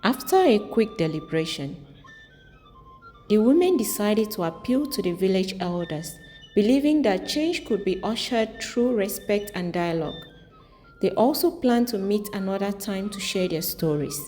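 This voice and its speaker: A woman's voice: mid-range voice, expressive, normal speed, mellow. A woman narrating a scripted folktale as a storyteller. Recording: long reverb, music underneath. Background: music.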